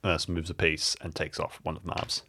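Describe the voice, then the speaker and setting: Deep-voiced man playing a roleplay game. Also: little pitch movement, brisk, gravelly, pushed and bright, dry recording, studio quality. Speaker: player in an audio drama roleplay show. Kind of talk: improvised roleplay narration.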